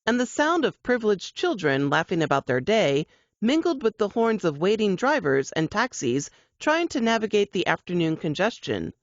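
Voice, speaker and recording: A woman's voice: on the low side, no echo, dull audio. A woman narrator reading suspense fiction from a script in audiobook style.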